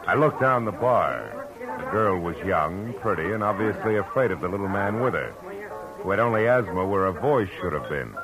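A man's voice: low voice, rough recording. An actor playing a tough, wry male private detective who narrates terse first-person, in 1940s radio drama style. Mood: weary. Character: hard-boiled male private eye, dry and tough. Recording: old radio broadcast with music.

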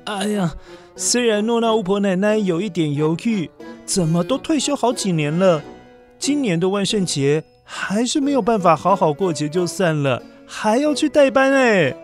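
A man narrating a children's story in a gentle voice, speaking directly to young listeners. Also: high voice, animated, moderate pace, soft background music.

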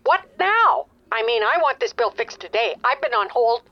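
A woman acting as an older mother on the phone, in a slightly muffled recording. Her fairly high voice swoops expressively, fretful, impatient and emphatic, like an exasperated customer in a naturalistic audio comedy. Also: animated, moderate pace, dry recording, natural voice. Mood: indignant, impatient. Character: anxious, exasperated older mother calling about her bill.

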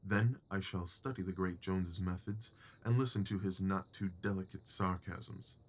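A man playing a shrewd, commanding Victorian gentleman detective in an audio drama, precise, assured and dryly superior. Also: low voice, fairly even intonation, moderate pace. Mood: brisk, instructive.

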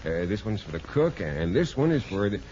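A man's voice: lowish voice, very animated, brisk, warm tone. An actor playing a troubled, doting father with a bitter streak in a 1950s radio drama. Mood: indulgent. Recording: dull, hissy old radio recording.

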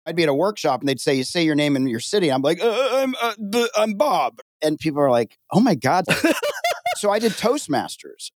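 Joking podcast host guy, slightly high voice, lively, dry mic. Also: moderate pace, no room sound. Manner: animated, jokey, comic pacing. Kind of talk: podcast interview, conversational.

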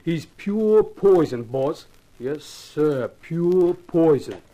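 A man's voice: fairly high pitch, animated, very slow, mellow, low-quality recording. A man playing an easygoing, deferential ex-seaman turned guard, talking in a folksy, colloquial way in a 1950s radio drama. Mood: wary warning.

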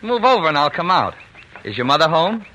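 A man's voice: on the high side, very animated, brisk, sharp tone. A man playing an easygoing, affectionate father in 1940s radio drama, on an old recording. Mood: warm, wistful. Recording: muffled old radio recording, faint hiss.

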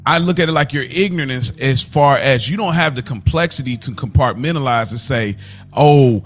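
A man's voice: mid-range voice, moderate pace. A man giving impassioned opinions as a podcast panelist, talking in informal slang and repeating himself for emphasis.

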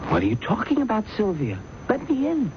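An actor in a radio drama playing an anxious, defensive office worker, speaking in a nervous, halting, pleading way. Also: male voice, high-pitched, wildly swooping pitch. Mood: agitated, pleading. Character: anxious, defensive male office worker protesting his innocence. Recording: dull, noisy vintage radio recording.

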